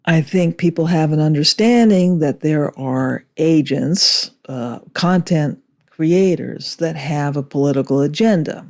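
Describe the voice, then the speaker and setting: A woman delivering scripted political commentary in a measured, deliberate way with emphatic phrasing. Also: sits low, a little mellow. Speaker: video commentator.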